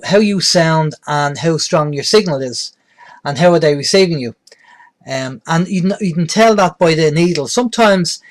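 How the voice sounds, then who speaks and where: A man presenting an instructional tutorial in a plain-spoken, explanatory way. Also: fairly high pitch. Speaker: presenter, radio tutorial host.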